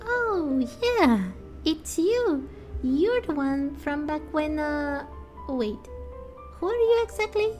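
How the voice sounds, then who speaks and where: Small childlike game fairy played by a woman: very high voice, excitable, doubting, always questioning. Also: takes it slowly, faint music behind. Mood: confused. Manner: third-person self-reference, exclaiming, questioning.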